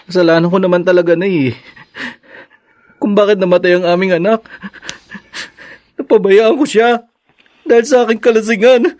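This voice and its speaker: A man's voice: high-pitched, fairly even intonation, moderate pace, long pauses, darkish tone, natural voice. A Filipino man playing a guilt-ridden, pleading young husband in a radio drama. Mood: remorseful. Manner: pleading, remorseful.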